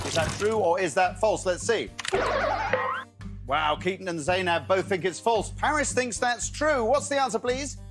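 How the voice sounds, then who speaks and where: A man's voice: sits high, lively intonation, moderate pace, loud music behind the voice. A man in his fifties hosting a children's game show in a TV studio, upbeat and jokey. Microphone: lapel mic.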